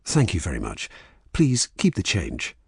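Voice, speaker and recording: Man acting English swindler, storybook character, husky, animated pitch, polite, apologetic, feigning confusion. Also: a little slow, no room sound, clean studio sound, heavily acted.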